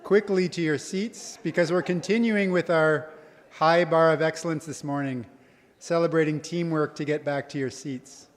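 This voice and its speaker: A man's voice: a little high, fairly even intonation, moderate pace. Chuckling emcee man in a hall. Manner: light, laughing, coaxing people back. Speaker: event emcee. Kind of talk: emcee announcements. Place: large hall. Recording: long hall reverb.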